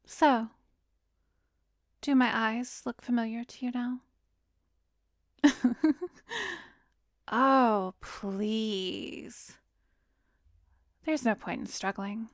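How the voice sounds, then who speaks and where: A woman playing an arrogant, seductive vampiress who hypnotizes in an ASMR roleplay, breathy and commanding, often slipping into a whisper. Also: fairly high pitch, steady tone, very slow, long pauses, no room sound, clean studio sound. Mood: smug, gloating.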